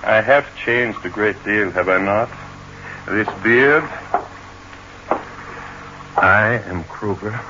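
An actor playing a disguised middle-aged portrait painter, formal and ingratiating, in an old radio play. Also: male voice, on the low side, takes it slowly, long pauses. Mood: coolly threatening. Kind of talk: 1940s radio acting. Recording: muffled old radio recording, faint hiss.

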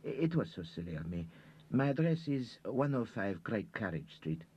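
A man's voice: on the low side, very animated, normal speed, mellow, muffled recording, a little hiss or hum, pitched above the performer's own voice. A man doing a gracious French widow. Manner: gracious, breathy, courteous. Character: refined widow, gracious and foreign-accented.

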